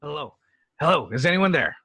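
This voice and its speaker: A man plays an earnest TV starship captain in a table read. His voice is bright and forceful with a husky rasp, recorded dry and close, with urgency in the delivery. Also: a little high, very animated, a little fast, no echo, full character voice. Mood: earnest, urgent.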